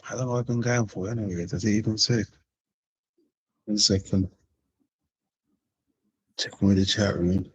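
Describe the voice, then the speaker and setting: A man hosting a live-streamed panel chat. He talks casually, repeating phrases and giving slangy shout-outs to viewers. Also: lowish voice, fairly even intonation, normal speed, long pauses, a little hiss or hum. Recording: dull, lo-fi stream audio.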